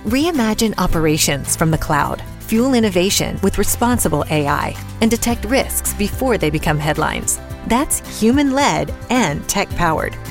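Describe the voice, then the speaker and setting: A woman's voice: medium pitch, animated, moderate pace, creaky, few pauses, soft background music. A woman reading a scripted corporate advertisement as an announcer.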